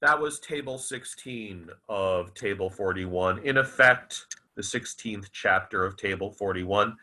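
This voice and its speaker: A man reciting his own literary fiction as its author, in a theatrical, deliberate and emphatic manner. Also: lowish voice, sharp tone. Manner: dramatic, deliberate, emphatic with repeated phrases. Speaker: author reading own novel aloud.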